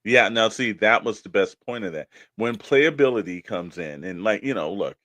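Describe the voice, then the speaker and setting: A man with a fairly low voice talks as a podcast co-host. He rambles through his opinions in a loose, colloquial style, and the sound is close and dry. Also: animated, normal speed, a bit bright, no room sound. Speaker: podcast co-host discussing collectible toys. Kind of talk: casual unscripted opinion chat.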